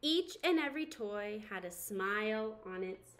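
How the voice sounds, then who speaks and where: A young woman reading a children's storybook aloud in an expressive narrating style. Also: mid-range voice, measured pace. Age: young adult.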